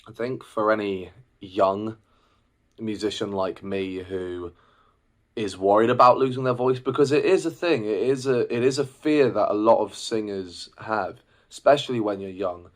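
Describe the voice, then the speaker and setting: Low-voiced young man venting. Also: moderate pace. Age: 20s. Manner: reflective, rambling with restarts and fillers. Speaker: singer and vlogger. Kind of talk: casual personal vlog.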